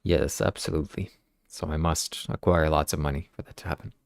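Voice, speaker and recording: A man's voice: very deep voice, brisk, vocal fry, no room sound, clean studio sound. A man whose first language is Ukrainian, hosting a casual podcast and earnestly reading viewer comments aloud. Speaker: podcast host. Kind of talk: casual livestream podcast chat.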